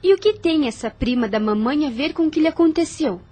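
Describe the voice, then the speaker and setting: A woman playing a high, anxious young sister. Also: expressive, fairly quick, mellow. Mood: worried. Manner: questioning, emotional. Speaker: audio drama actress. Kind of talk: radio drama acting.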